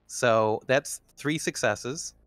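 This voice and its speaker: A man's voice: medium pitch, very slow, a bit bright, no echo. A man speaking casually as a player in a tabletop roleplaying game. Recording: background music under the voice. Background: music.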